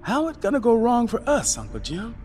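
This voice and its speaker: A man voicing a frightened young girl carrying a baby, in a high, pleading storybook voice. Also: wildly swooping pitch, fairly quick, a little mellow, faint music behind, exaggerated voice. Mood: anxious.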